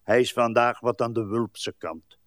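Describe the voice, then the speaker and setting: An actor playing a stolid, deferential rustic carter in a radio drama, laconic and deadpan. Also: male voice, medium pitch, measured pace, no echo, studio quality, natural voice.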